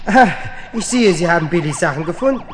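An obliging spaceship crewman in a 1970s radio play. His high voice bounces briskly up and down. A faint hiss from the old record sits under it. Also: animated. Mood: helpful, brisk.

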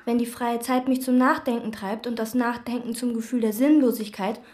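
An actress playing a withdrawn, meticulous chambermaid who speaks precisely and detachedly, in radio drama and inner monologue. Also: female voice, fairly high pitch, fairly even intonation, moderate pace, darkish tone, close to an everyday voice. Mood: flat. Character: meticulous, withdrawn woman chambermaid obsessed with cleaning. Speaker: hotel chambermaid.

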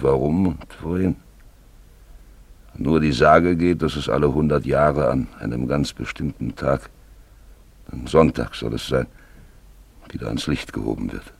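An actor playing a gruff, superstitious old huntsman in a German radio play, with a gravelly, husky voice and a brusque, practical manner. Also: very deep voice, dry recording, exaggerated voice.

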